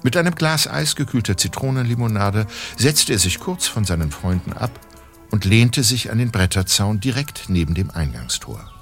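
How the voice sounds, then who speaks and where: A man playing the narrator of a detective audio drama, reading in a measured scripted style. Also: fairly low pitch, very animated, faint music behind. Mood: narrating. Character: measured male storyteller of detective story.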